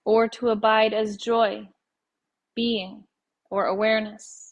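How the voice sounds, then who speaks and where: A woman guiding a meditation as a teacher, speaking in a calm, measured way with pauses between phrases. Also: on the high side. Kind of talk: guided meditation narration.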